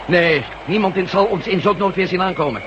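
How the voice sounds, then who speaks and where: A man playing a confident, quick-thinking adventurer in a radio drama, assured and matter-of-fact. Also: high voice, close to an everyday voice. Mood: assured, urgent. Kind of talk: Dutch radio drama acting. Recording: dull, very noisy old radio recording.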